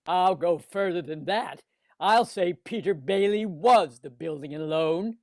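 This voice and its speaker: Man acting scornful old villain, high-pitched, pompous, dry close sound, radio play. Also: unhurried, a little mellow, no echo. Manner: contemptuous, pompous. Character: cold, scornful, miserly old businessman villain. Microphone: video call.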